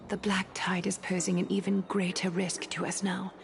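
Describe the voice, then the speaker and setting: An actress playing a solemn, composed woman leader in a game, speaking formally and gravely. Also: mid-range voice, little pitch movement. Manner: measured, grave, formal speech. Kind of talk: scripted game story dialogue. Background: game music.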